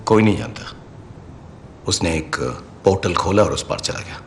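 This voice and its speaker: Male dub actor as restrained film hero leader, deep pitch, grave, measured, faint rumbling noise. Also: lightly acted. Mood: grave, earnest. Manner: serious, measured. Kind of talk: Hindi film dub acting.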